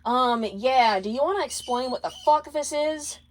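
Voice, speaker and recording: Demon boss, acted by a woman: male, demanding, crude, pitched high, musical storybook tirades. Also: moderate pace, a little hiss or hum, lightly acted. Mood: angry demanding. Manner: demanding, theatrical, breaks into song. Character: scolding, foul-mouthed male demon boss who sings.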